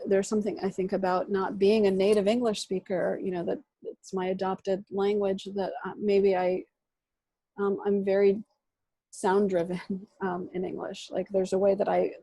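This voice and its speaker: A woman poet whose first language is Russian, answering questions thoughtfully over a video call and pausing often. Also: medium pitch, level tone, dark tone, dry recording, poor audio. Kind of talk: reflective answers in an online reading Q&A.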